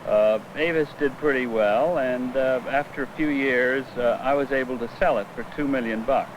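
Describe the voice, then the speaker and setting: American man, mid-pitched, plain-spoken executive type, candid address on a noisy vintage film track. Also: normal speed, highs cut off. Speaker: former corporate executive. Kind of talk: candid explanation to camera. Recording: old film soundtrack with noise.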